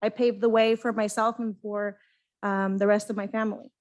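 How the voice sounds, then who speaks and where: A woman on a conference panel giving advice to students in an earnest, halting way. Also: medium pitch, level tone.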